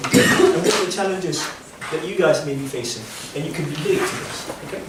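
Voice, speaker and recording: A man's voice: a little high, brisk. Malaysian man speaking in an echoey room. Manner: questions the audience, encouraging. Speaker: invited speaker to a youth group. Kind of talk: interactive talk to teenagers. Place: community school room. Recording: very echoey room, far from mic, noisy.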